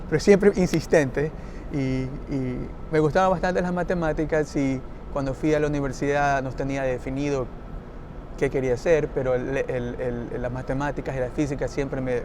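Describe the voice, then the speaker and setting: A middle-aged man from Ecuador talks casually about his work, hesitating and restarting often. His voice sits at a middling pitch. There is clear background noise in the recording. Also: fairly even intonation, normal speed. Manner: hesitant, many fillers and restarts, chuckles. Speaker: NASA mechanical engineer. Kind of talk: casual interview answers. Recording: noisy recording.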